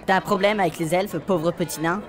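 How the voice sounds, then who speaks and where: A man's voice: sits high, fairly even intonation, a little fast, music in the background, lightly acted. A man voicing a threatening, brawny elf with a barbarian's temper in a comic fantasy audio drama. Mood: menacing.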